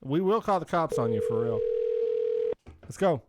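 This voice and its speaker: Pushy man, high lively voice. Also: moderate pace, heavy background noise. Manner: pushy, badgering, swears. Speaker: podcast co-host making prank cold calls. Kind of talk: loud casual banter.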